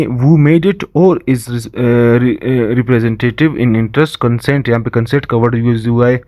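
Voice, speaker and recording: A man with a Pakistani accent giving an informal law lesson, explaining in a halting, repetitive way. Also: medium pitch, dark tone, highs cut off. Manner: halting, repetitive, slows markedly when reading English. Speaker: law lecturer.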